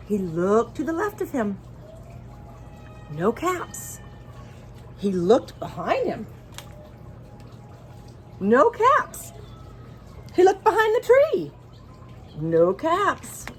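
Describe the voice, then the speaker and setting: A woman reading a picture book aloud to young children in an expressive way, engaging her listeners as she goes. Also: medium pitch, slight background noise. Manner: expressive, pauses to ask listeners questions. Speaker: children's storybook reader.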